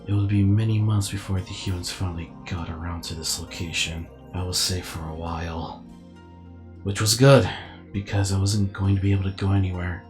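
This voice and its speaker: Man playing a low-voiced brooding robot warrior. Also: close to an everyday voice. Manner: brooding, deliberate, formal. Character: weary, reflective male giant alien robot warrior. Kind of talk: dramatic scripted inner monologue.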